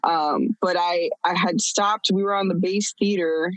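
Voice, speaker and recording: A woman's voice: lowish voice, steady tone, measured pace, dry recording, rough recording. A woman in her thirties telling her own story as a podcast guest, candid and blunt, laughing often. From United States. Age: 30s. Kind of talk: casual storytelling.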